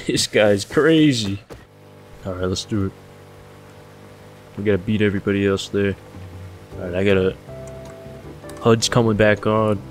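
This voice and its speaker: Deep-voiced young guy streaming a survival game, cursing and joking as he plays, low voice, faint music behind. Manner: swears often, jokes, thinks aloud. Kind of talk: casual gameplay commentary.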